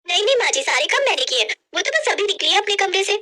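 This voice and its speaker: A woman's voice: extremely high pitch, very animated, quick pace, bright, forceful tone, pitched above the performer's own voice, full character voice. A woman voicing a sly, lazy young twin sister who sweet-talks in a breezy, wheedling way in a moral story. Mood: sweet-talking.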